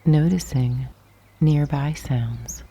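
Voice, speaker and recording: A woman guiding a yoga nidra meditation in a soft, breathy half-whisper, gently and calmly, with long pauses. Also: low-pitched, poor audio. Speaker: meditation guide. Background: birdsong and nature sounds.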